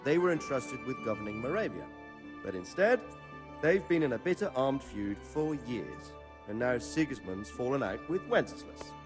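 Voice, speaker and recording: Guy playing a wary noble dad in a medieval game, explains things earnestly, music pretty loud behind him. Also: medium pitch, big pitch swings, moderate pace, close to an everyday voice. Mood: explaining. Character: wary fatherly medieval nobleman advising his son. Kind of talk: video game cutscene acting.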